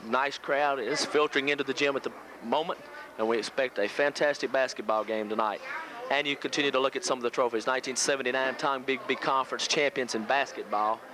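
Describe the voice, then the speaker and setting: Male local play-by-play announcer from the US, mid-pitched, pregame basketball commentary, clearly noisy vintage tape recording. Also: steady tone, a little fast. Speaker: local radio play-by-play sportscaster. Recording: noisy old VHS local radio audio.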